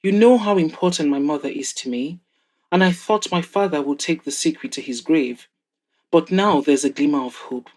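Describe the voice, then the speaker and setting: A woman's voice: sits low, moderate pace, a little mellow, poor audio. A woman voicing a proud, guarded woman in an audio drama, speaking in pointed, formal, exasperated phrases. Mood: earnest. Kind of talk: audio drama character dialogue.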